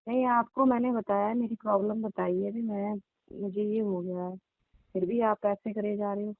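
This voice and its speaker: A woman's voice: medium pitch, fairly even intonation, normal speed, dry recording, natural voice. Woman playing an aggrieved young woman, tinny phone line. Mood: complaining. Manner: complaining, insistent, repetitive. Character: aggrieved, insistent young divorced woman confronting older lover.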